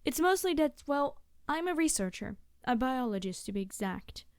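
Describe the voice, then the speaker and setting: A woman's voice: sits high, very animated, normal speed, smooth, no room sound, clean studio sound. A woman playing a cute, friendly young biologist in an ASMR roleplay, chatting eagerly and a little hesitantly to the listener about animals. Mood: earnest, enthusiastic. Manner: gushing, hesitant, chatty explaining.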